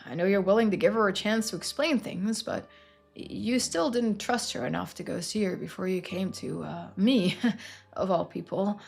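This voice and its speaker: A woman voicing a gentle, devout male small-town pastor in a video game, earnest and a little hesitant. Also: mid-range voice, normal speed, gravelly, husky, soft background music. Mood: gently encouraging.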